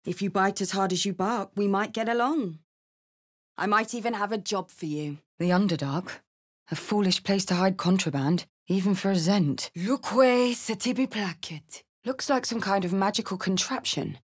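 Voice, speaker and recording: Fantasy game role for a woman: tough female adventurer, soulful but gruff, delivering blunt, clipped remarks and incantations. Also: mid-range voice, moderate pace, close to an everyday voice. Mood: brash, tough, then commanding incantation. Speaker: video game character actor. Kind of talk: fantasy video game voice lines.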